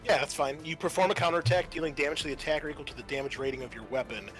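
A man narrating a tabletop roleplaying game as game master, casually, pausing as he goes and reading out rules. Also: fairly high pitch, a bit bright, soft background music.

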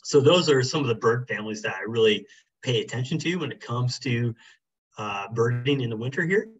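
A middle-aged man talks through a birding workshop from a small room over a webcam. His voice is mid-pitched and slightly husky, and he talks casually with plenty of fillers. The call audio is a little dull and muffled. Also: fairly quick. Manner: relaxed, many fillers like um and you know. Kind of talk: casual online workshop talk. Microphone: video call webcam.

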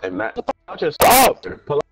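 A man's voice: moderate pace, crowd noise. A young man trash-talking over game voice chat, taunting and mocking with repeated jabs. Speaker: gaming YouTuber.

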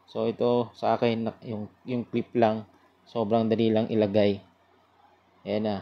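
A man giving a casual product review in an informal, sales-pitch manner. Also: completely flat delivery, measured pace, creaky, lo-fi sound.

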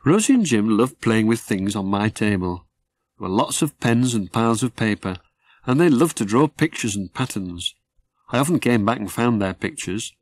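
Low-voiced man reading a children's story. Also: lively intonation, normal speed, no room sound. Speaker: author reading his own story. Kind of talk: scripted children's story narration.

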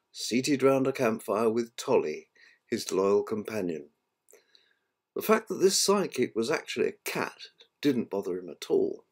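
An older man narrating a children's story from a book in a measured, amateur reading manner. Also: medium pitch, darkish tone. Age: 60s or older. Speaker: author reading his own book.

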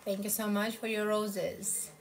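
A woman's voice: mid-range voice, flat delivery, measured pace, faint music behind. A woman in her thirties from Moldova with a Romanian accent, chatting casually with viewers on a livestream from her bedroom, answering comments. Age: 30s. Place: bedroom in front of padded headboard, indoors. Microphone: phone camera at arm's length.

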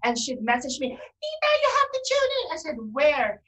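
A woman's voice: high-pitched, wildly swooping pitch, normal speed, fairly bright tone. A woman co-host telling stories in casual chat on a video call. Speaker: online radio show co-host. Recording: distant video-call microphone.

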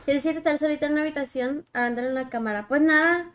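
A young woman with a high voice and a Mexican accent chats loosely with her stream while she plays. Her talk is slangy and drifts off mid-thought. Clear game noise of vehicles and helicopters runs behind her. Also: rapid-fire. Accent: Mexican Spanish. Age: young adult. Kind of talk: casual livestream chat while gaming. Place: indoor room, alone. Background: mobile shooter game sounds, helicopter.

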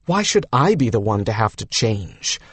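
A man's voice: lowish voice, very animated, moderate pace, a bit bright, breathy edge, no room sound, pitched below the performer's own voice, heavily acted. A man voicing a defensive, insecure young woman in an audiobook, whining and sarcastic. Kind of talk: audiobook character dialogue.

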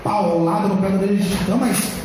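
A Brazilian man giving excited, emphatic play-by-play wrestling commentary, often breaking into shouts. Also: sits high, normal speed, noisy background. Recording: distant, very echoey, loud music and crowd.

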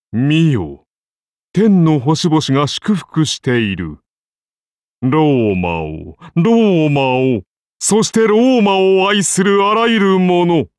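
A man's voice: on the high side, no echo, studio quality. A man voicing a majestic, benevolent Roman founder-king turned god in an anime game, with grand, solemn, archaic declamation. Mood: exalted, proclaiming. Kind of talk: anime game character voice lines.

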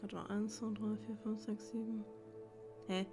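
A woman's voice: medium pitch, flat delivery, measured pace, dark tone. A woman giving casual let's play commentary, thinking aloud and muttering to herself in a puzzled way as she works things out. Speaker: let's player, channel host. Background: game music.

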